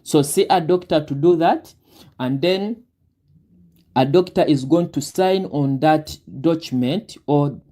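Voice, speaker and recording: A young man with a Ugandan accent, a guest recruit talking deliberately through his advice with many fillers. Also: fairly high pitch, warm tone. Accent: Ugandan English. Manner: deliberate, breaks words down slowly with many fillers. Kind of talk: advice talk on a live Q&A.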